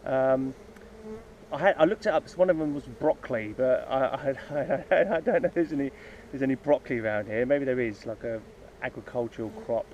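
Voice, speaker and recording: A man's voice: medium pitch, a little fast, darkish tone, slight background noise. Rambling man by buzzing beehives. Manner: rambling, many ums and restarts. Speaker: hobby beekeeper making videos. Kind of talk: casual unscripted vlog talk. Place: outdoors by beehives. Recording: dull lo-fi outdoor sound, faint insects buzzing.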